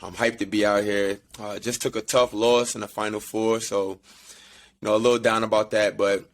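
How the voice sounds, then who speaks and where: A man with a hoarse, raspy voice from yelling, co-hosting a casual sports chat in a laid-back, slangy, rambling way. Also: lowish voice, flat delivery, normal speed. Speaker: podcast co-host. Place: away from home, remote call.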